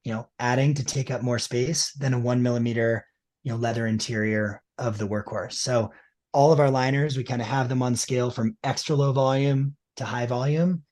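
A man's voice: medium pitch, steady tone, moderate pace, a little mellow. Enthusiastic man explaining ski liners. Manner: enthusiastic, explanatory, many fillers. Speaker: ski boot liner maker representative. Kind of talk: casual interview chat.

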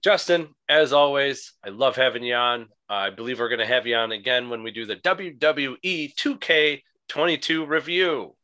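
A man co-hosting a casual wrestling podcast, his voice raspy today, talking with easy restarts. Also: mid-range voice, normal speed, hardly any pauses, sharp tone. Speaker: podcast co-host.

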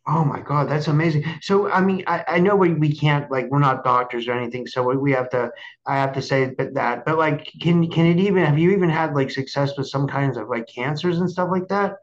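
Halting male host, dull lo-fi audio. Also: fairly high pitch. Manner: halting, repeats words, effusive. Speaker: show host. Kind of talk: casual interview chat.

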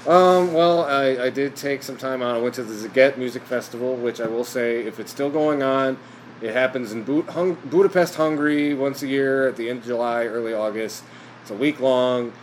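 A man chatting as a podcast guest, rambling with lots of fillers and quick laughs. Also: mid-range voice, slight background noise, poor audio. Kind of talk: casual chat.

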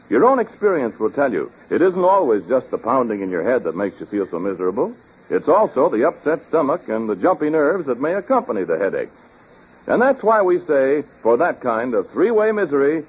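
An American man announcing and pitching a sponsor in 1940s radio style. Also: very animated, moderate pace, slightly rough. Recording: dull old radio recording, faint noise.

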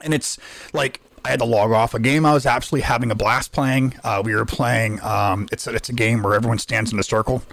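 A man co-hosting a podcast in casual chat, hoarse from losing his voice, rambling with frequent restarts. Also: mid-range voice, fairly even intonation, fast talker, a bit bright, no echo. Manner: rambling, lots of restarts and fillers. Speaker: podcast co-host.

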